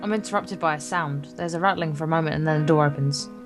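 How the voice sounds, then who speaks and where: Female let's play co-host, casual game narration, lower-than-average register, faint music bed. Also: quick pace. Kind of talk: reading visual novel narration aloud with casual asides.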